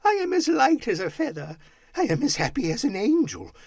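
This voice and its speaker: A man's voice: on the high side, huge pitch swings, normal speed, husky, no echo, clean studio sound, pitched above the performer's own voice, heavily acted. A man voicing an overjoyed, reformed old miser from a storybook, exclamatory and effusive, whooping with delight. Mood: giddy joy.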